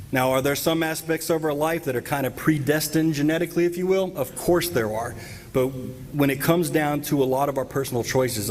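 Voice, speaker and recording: A man's voice: mid-range voice, a little mellow. Assertive man debating on a hall stage. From United States. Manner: assertive, argumentative, emphatic gestures. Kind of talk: formal debate cross-examination. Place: large auditorium stage. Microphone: podium microphone. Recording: hall reverb, faint background noise.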